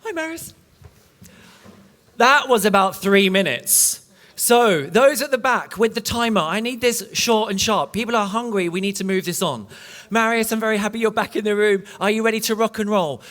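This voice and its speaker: A man hosting an event, making brisk announcements from the stage. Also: sits high, a bit bright. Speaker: event host.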